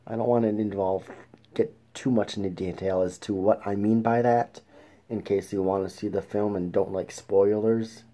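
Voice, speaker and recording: A man hosting a casual film review show, talking in a halting way with restarts and throat clearing. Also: lowish voice, fairly even intonation, mellow. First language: English.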